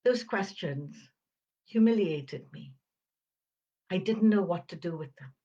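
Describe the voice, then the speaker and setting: Older woman, crisp upper-crust British accent, unhurried. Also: lowish voice, animated, warm tone, dull audio. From Uganda. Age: 70s. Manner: crisp enunciation, measured phrasing with deliberate pauses. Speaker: podcast host. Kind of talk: reflective personal storytelling monologue.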